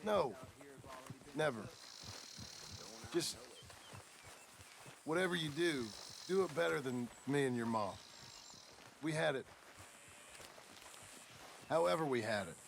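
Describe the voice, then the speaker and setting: An actor playing a gruff but tender frontier rancher father with an American Western accent, a gravelly voice and a folksy, halting delivery, in a video game. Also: fairly high pitch, animated, a little hiss or hum, exaggerated voice. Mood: reflective. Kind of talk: naturalistic video game acting.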